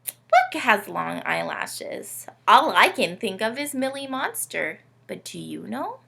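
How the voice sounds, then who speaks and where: Animated woman storytime host, bright creaky voice. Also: medium pitch, slow. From Canada. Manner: animated, teacherly, asks listeners questions. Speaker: children's read-aloud host. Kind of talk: children's storytime presenting.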